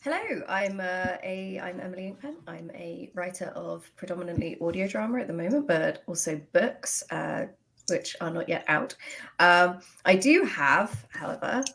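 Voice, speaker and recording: A woman's voice: on the low side, gravelly, a bit bright. A woman writer introducing herself casually as a guest on a panel. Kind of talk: casual chat, self-introduction.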